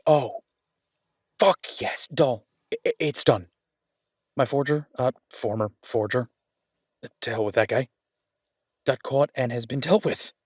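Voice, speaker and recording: A man playing a lovesick, wry mafia boss who rambles haltingly in an intimate audio drama voice message. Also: medium pitch, a little mellow, breathy edge, dry recording, studio quality. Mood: elated, relieved. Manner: halting, rambling, drawn-out pauses, occasionally swearing.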